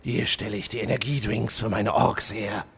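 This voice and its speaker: A man's voice: on the low side, fairly even intonation, moderate pace, vocal fry, fairly bright tone, slight background noise. A man playing a vain, menacing demon-hunter villain lord, pompous and petulant, in a fantasy parody audio drama. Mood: gloating.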